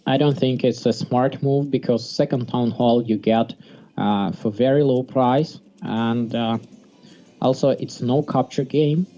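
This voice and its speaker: A man from Sweden gives casual, halting live commentary on a strategy game. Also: mid-range voice, warm tone. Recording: dull lo-fi mic, music behind. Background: music.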